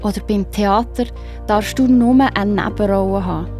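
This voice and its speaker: A woman's voice: mid-range voice, moderate pace, a little mellow. A woman with a Swiss accent telling a Bible story to children as the narrator. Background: music.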